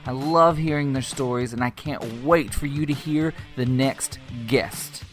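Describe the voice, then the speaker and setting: A man's voice: mid-range voice, measured pace, over loud music. An American man hosting a podcast, chatting in a casual, informal and upbeat way as he interviews and encourages. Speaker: podcast host.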